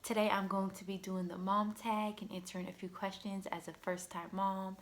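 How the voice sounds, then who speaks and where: A woman's voice: medium pitch, little pitch movement, moderate pace. A woman in her twenties talking casually to camera as a vlogger, chatty and loose, with a lot of "like" and "you know". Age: 20s. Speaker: vlogger mom.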